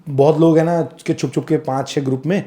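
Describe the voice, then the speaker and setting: Animated man telling stories. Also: on the high side, fast talker. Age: 30s. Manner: animated, swears freely, acts out dialogue. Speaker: guest. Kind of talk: casual storytelling, mixing Hindi and English. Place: podcast studio.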